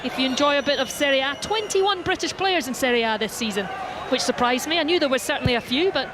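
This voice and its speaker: Brief: high-voiced female football commentator, brisk play-by-play, roaring stadium crowd behind. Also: lively intonation. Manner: brisk, descriptive play-by-play calling. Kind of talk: live radio match commentary. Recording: stadium crowd and loud background noise.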